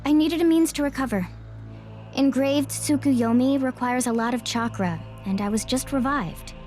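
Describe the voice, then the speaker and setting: A woman's voice: high-pitched, moderate pace, a little mellow, close to an everyday voice. A woman playing a composed, condescending game guide who is secretly a villain, in an anime game dub. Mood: gloating, calm. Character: game guide girl revealed as smug, scheming villain. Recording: dramatic background music.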